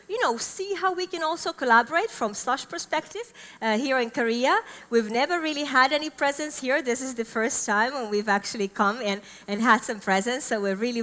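A woman's voice: fairly high pitch, bright, forceful tone. Upbeat Finnish woman speaking on stage. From Finland. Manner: enthusiastic, upbeat, gestures while talking. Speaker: conference speaker. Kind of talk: keynote speech. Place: large conference stage. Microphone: headset mic. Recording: long hall reverb.

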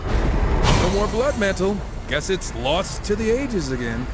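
High-pitched, cocky, sardonic horseman. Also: very animated, slow pace, over loud music, exaggerated voice. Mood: cocky, dry. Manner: sardonic, casual. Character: wry, cocky horseman gunslinger, irreverent and skeptical. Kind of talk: video game character acting.

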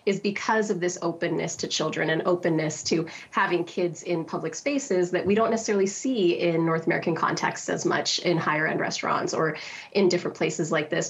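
A woman's voice: lowish voice, highs cut off. A woman in her thirties, a founder speaking reflectively as a debate guest over a video call. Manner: reflective, many fillers like "you know". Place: home room.

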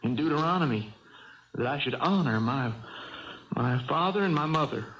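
An actor playing a dejected young ballplayer with a Southern hill-country accent and a folksy drawl, in a 1970s radio drama. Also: male voice, mid-range voice, animated, moderate pace, fairly bright tone. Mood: ashamed, dejected. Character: dejected young male ballplayer from mining hills. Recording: noisy dull old radio recording.

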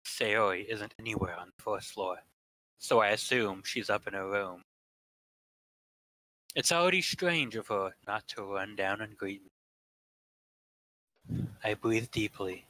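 A man's voice: lowish voice, unhurried, pauses often, bright, forceful tone, slight background noise, rough recording. A man reading scripted first-person narration from a visual novel as part of a group staged reading.

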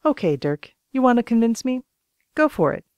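A woman's voice: on the high side, sing-song, moderate pace, darkish tone, clear, resonant tone, no room sound, dull audio. A woman reading an audiobook as a composed, wry author character who narrates and debates. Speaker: audiobook reader.

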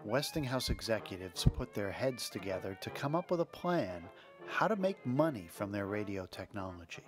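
A man's voice: fairly low pitch, very animated, moderate pace, music in the background. A man reading scripted documentary narration in a conversational storytelling manner with wry asides, as the host.

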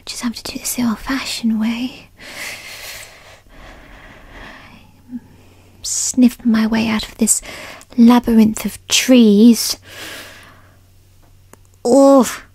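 A woman acts out a bratty female fox spirit in an ASMR roleplay, sulky and insecure. The voice is a breathy, husky whisper on the higher side, very close and dry. Words come slowly and huffily, often drawn out. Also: steady tone, mellow, no room sound, exaggerated voice. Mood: annoyed. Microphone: close microphone in a dry space.

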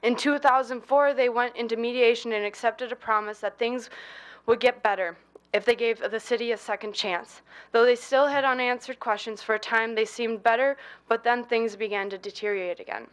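A woman's voice: a little high, fairly even intonation, moderate pace. A woman reading a solemn, formal prepared statement at a city council meeting. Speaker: public commenter from the donor family.

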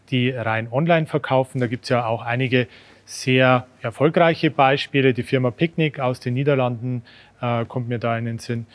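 A man in his thirties moderating an expert interview, polite and slightly halting as he frames his questions. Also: medium pitch. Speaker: host, moderator. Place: large dark indoor studio showroom.

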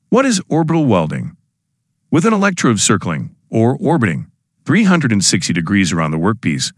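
A man's voice: lowish voice, no echo, clean studio sound. A man giving scripted, formal narration for an instructional explainer video.

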